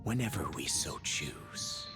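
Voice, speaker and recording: A man voicing a solemn, wistful storyteller in a video game prologue, speaking in a measured, poetic way. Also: rumbling low, very animated, loud music behind the voice, heavily acted.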